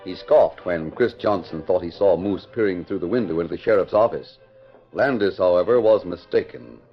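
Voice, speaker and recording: A man's voice: deep voice, moderate pace. A man giving dramatic scripted narration as announcer of a 1940s radio serial. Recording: dull vintage radio recording, faint music.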